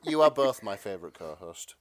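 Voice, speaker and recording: A man's voice: fairly low pitch, sing-song, brisk. A man co-hosting a podcast in casual, joking chat. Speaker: podcast co-host.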